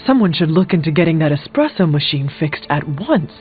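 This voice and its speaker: A man playing an earnest warrior hero in a video game, speaking in formal, measured phrases. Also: on the high side, sing-song, phone-quality sound, soft background music. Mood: earnest, steady.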